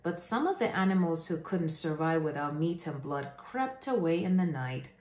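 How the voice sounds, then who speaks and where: Echoey, lower-pitched woman reading aloud. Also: lively intonation, normal speed, darkish tone. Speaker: host reading children's stories aloud. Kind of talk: animated read-aloud storytelling to camera. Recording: echoey room.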